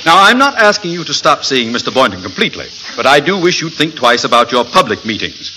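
A pompous, middle-aged school principal in a radio comedy. His forceful, highish voice swoops with officious bluster. The vintage recording carries faint noise. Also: very animated, quick pace. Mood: stern, awkward. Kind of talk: old-time radio sitcom acting.

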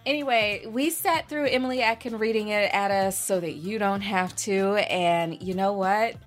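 A woman's voice: mid-range voice, moderate pace, faint music behind. A woman co-hosting a podcast in casual banter, with a blunt, sweary, sardonic way of talking. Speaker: podcast co-host.

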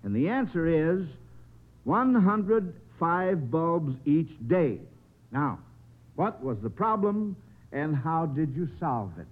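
A man's voice: on the high side, big pitch swings, takes it slowly, phone-quality sound. A man hosting a 1950s radio children's quiz in a folksy, encouraging, informal way, on an old radio recording. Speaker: quiz show host.

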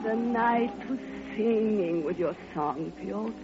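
An actress playing a passionate, lonely elderly widow, theatrical and yearning, in an old radio drama. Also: female voice, mid-range voice, slow pace, lightly acted. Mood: wistful, impassioned. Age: older. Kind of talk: 1950s radio drama acting. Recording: dull old radio recording, faint music behind.

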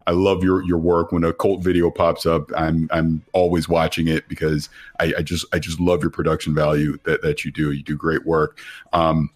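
A man's voice: a bass voice, completely flat delivery, moderate pace. A man guest on a gaming podcast, easygoing and appreciative as he talks. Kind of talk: casual podcast chat.

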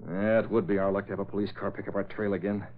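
A muffled, hissy vintage radio recording of an actor as a shrewd masked hero. His voice is deep and deliberate, with a commanding, old-time serial delivery. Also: little pitch movement, lightly acted. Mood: brisk, intent. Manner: deliberate, thinking aloud, commanding. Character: resolute, shrewd masked crime-fighter hero. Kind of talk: 1940s radio acting.

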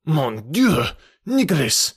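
A man's voice: mid-range voice, animated, normal speed, no echo, clean studio sound, close to an everyday voice. A man voicing a proud French count in an audiobook, formal and dramatic, indignant yet courtly. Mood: shocked, accusing. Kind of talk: audiobook character voice.

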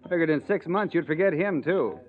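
A tinny old radio recording of an actor as a needling newspaperman. His voice sits a little high and swings with sly, sardonic teasing, always insinuating that he knows more. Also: animated, brisk, natural voice. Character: needling, wry, suspicious male newspaper rewrite man. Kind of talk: 1940s-50s radio drama acting.

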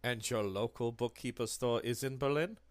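A man voicing a menacing German occultist who talks softly, low and measured, coldly polite as he probes. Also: dry recording, natural voice. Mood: coldly probing. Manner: soft, low, measured and probing.

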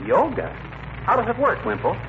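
Blustery sputtering husband, old radio comedy, voice swoops around, tinny recording. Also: male voice, sits high, huge pitch swings, normal speed, creaky, noisy background, exaggerated voice. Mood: curious, jovial. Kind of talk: 1940s radio comedy acting.

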